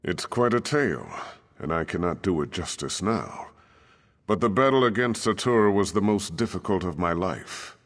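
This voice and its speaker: An actor playing a grave, weary middle-aged desert king in a video game, speaking in a measured, formal, regal manner. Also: a bass voice, vocal fry, heavily acted. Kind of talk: scripted video game dialogue.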